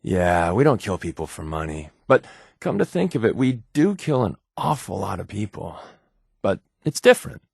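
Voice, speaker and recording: An actor voicing a sly, sardonic undercover agent as a video game companion, glib and conversational with dry sarcasm. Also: male voice, fairly low pitch, big pitch swings, moderate pace, gravelly, slightly rough, no echo, heavily acted. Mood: wry, wary.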